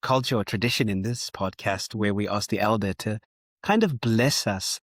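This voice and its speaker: A man interviews as a podcast host in a fairly low voice. He is conversational and deferential toward his guest. The recording is dry, with hardly any room sound. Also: moderate pace, no room sound. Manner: deferential, respectful.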